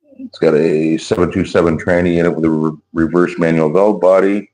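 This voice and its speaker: A hoarse man chatting casually on his vlog as a YouTuber and car builder. He rambles in an easygoing way, restarting his sentences, into a wireless clip-on mic. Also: low-pitched, level tone, normal speed, darkish tone, rough recording.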